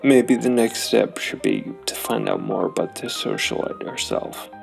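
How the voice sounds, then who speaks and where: A man narrating a solo tabletop game and thinking aloud in a thoughtful, gravelly voice. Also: fairly low pitch, sing-song, a little slow, soft background music. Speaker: solo role-playing game player and host.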